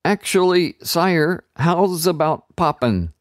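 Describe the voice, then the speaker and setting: A man's voice: fairly high pitch, expressive, slow, dry recording, studio quality, pitched above the performer's own voice. A man doing a nervous street-talking orphan boy. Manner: street slang, nervous, sniffly.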